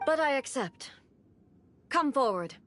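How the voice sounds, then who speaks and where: An actress voicing an almighty female ruler in a video game, cold and imperious, speaking in stately, formal, measured phrases. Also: high voice, lively intonation, a bit bright. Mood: solemn, stern. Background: background music.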